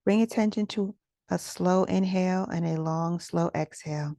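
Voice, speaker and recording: A woman leading a guided meditation as its teacher, speaking in a gentle, soothing, instructional manner with soft pauses. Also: on the low side, dry recording, studio quality.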